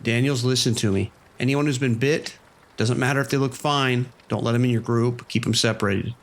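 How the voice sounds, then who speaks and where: An actor playing a male vice detective, steady and questioning under pressure. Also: medium pitch, moderate pace, natural voice. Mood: calm. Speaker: police detective.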